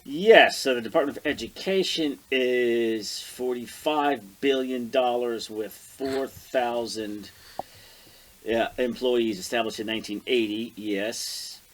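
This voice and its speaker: An American man co-hosting a podcast, chatting casually about politics and citing figures in a halting way as he searches through notes aloud. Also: medium pitch. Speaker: podcast co-host.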